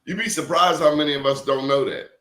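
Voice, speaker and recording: A man's voice: on the high side, quick pace, a bit bright, echoey room. A man talking as a professor guest in casual conversation, telling emphatic stories and throwing out rhetorical questions.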